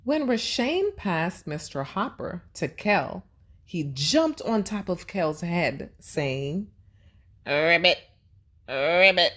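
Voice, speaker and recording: A woman reads a storybook for young children in a slightly low, very lively voice. She takes her time and speaks to the children directly, like a teacher guiding a simple lesson. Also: slow. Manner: expressive, addressing children directly with questions and praise. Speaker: children's read-aloud host and teacher.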